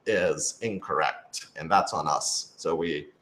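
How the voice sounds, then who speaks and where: A man's voice: rumbling low, takes it slowly, fairly bright tone. A man chatting casually and unscripted as a writer-director answering questions, informal, hedging and self-deprecating. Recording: roomy, echoing room sound.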